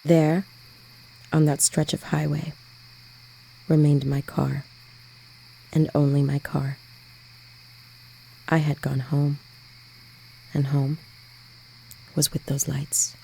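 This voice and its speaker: An actress as a low, creaky-voiced haunted woman. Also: level tone, slow, pauses often, mellow, a little hiss or hum, exaggerated voice, poor audio. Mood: haunted, resigned. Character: haunted lone woman driver recounting an eerie mystery. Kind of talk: dramatic first-person horror narration.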